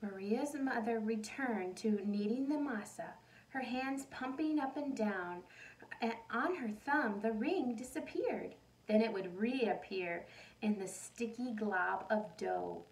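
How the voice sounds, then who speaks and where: A woman reading a picture book aloud like a teacher, animated, pausing to put questions and asides to young listeners. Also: on the high side. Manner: animated storytelling, addresses young listeners directly. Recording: voice distant from the microphone.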